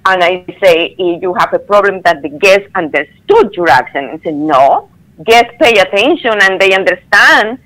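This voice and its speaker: A woman, a caller telling her story on a radio show in earnest, halting English over a phone line. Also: mid-range voice, animated, fairly bright tone.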